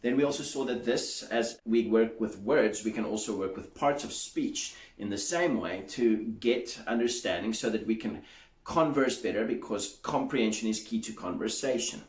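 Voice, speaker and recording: A man teaching English pronunciation in a measured, explanatory way, sounding out examples aloud. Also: on the low side, roomy sound. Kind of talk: solo teaching monologue.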